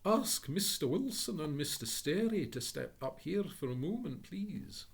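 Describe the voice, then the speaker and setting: A man voicing a flustered, polite elderly office gentleman who talks in a fussy, high, slow and bewildered way. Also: big pitch swings, creaky, breathy edge, full character voice. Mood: anxious polite.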